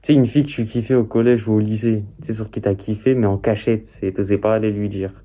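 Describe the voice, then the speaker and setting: A man's voice: on the low side, steady tone, fast, dark tone. A man giving casual, slangy commentary as a reaction streamer, addressing the viewer directly.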